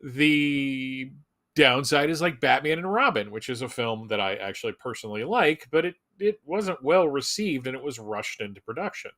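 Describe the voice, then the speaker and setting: A man's voice: mid-range voice, lively intonation, moderate pace, bright, forceful tone. A man with a mid-range voice talks in the loose style of a fan commentator. He is informal and emphatic, and tends to ramble through his points. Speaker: Superman fan commentator on a fan live show. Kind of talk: casual fan discussion.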